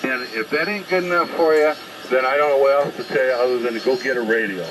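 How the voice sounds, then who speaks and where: Guy on a staticky ham radio, average pitch, blunt and argumentative, telling other operators off. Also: fast. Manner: blunt, confrontational, lecturing. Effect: shortwave single-sideband radio transmission. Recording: very noisy ham radio reception.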